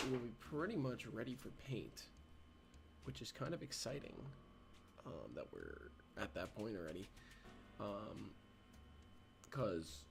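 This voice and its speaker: A man hosting a casual livestream, hoarse and raspy from a recent cold, talking hesitantly with many ums and stopping to clear his throat. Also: on the low side. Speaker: livestream host.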